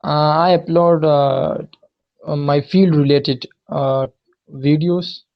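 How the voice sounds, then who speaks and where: Gravelly young man on a dull call. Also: on the high side, fairly even intonation, takes it slowly. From Pakistan. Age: 20s. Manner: short hesitant answers, many "uh"s. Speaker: student. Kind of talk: casual conversation practice. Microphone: video call. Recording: dull lo-fi video call audio.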